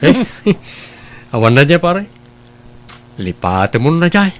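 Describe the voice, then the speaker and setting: A man's voice: fairly high pitch, sing-song, takes it slowly. A man with an Ilocano accent playing an easygoing, tipsy drinking buddy in a radio comedy drama. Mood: jokey.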